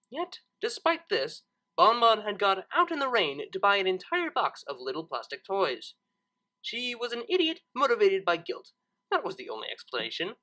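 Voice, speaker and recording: A man narrating a story in an expressive storybook style. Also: high-pitched. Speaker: narrator reading fan fiction, channel host.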